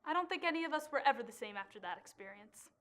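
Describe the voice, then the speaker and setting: Woman acting a troubled young woman, dramatic stage monologue, emphatic, forceful bright tone, higher pitch. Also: expressive, fast talker. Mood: bitter, dramatic. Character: young woman, bewildered and intense, recalling a traumatic childhood theater outing.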